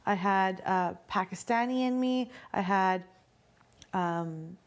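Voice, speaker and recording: A woman in casual group chat, talking chattily and pausing on fillers. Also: mid-range voice. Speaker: reality show contestant.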